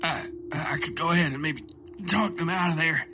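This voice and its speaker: A man's voice: on the high side, moderate pace, background noise, voice pushed up, lightly acted. A man voicing a frightened, servile underling in a horror audio story, hesitant and stammering, over music. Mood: anxious pleading. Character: frightened, servile tormented man pleading to be useful.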